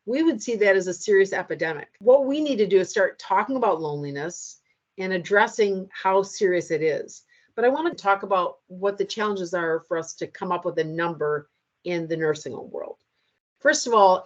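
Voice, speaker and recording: A middle-aged American woman giving an online training lecture in a measured, explanatory way, speaking directly to her audience. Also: medium pitch, dull audio. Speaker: lecturer on loneliness in later life.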